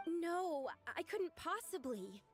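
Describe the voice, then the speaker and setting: A woman's voice: very high voice, big pitch swings, takes it slowly, faint music behind, heavily acted. A woman voicing a polite, timid young lady in a video game, courteous, meek and earnest. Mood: bashful. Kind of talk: animated video game dialogue.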